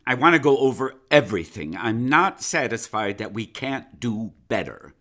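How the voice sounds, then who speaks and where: An actor playing a smug, condescending county attorney in a radio play, patronizing and full of chuckles and pauses, recorded in a home closet. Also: male voice, mid-range voice, expressive, fairly bright tone, close to an everyday voice. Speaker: Atty. Henderson, county attorney. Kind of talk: live radio play acting.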